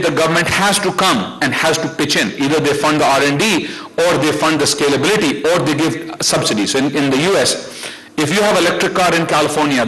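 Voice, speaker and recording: A man's voice: fairly high pitch, fairly bright tone, low-quality recording. A middle-aged man with an Indian accent giving an unscripted conference talk as a researcher, arguing his points in an emphatic, conversational way. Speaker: academic researcher speaking on a panel.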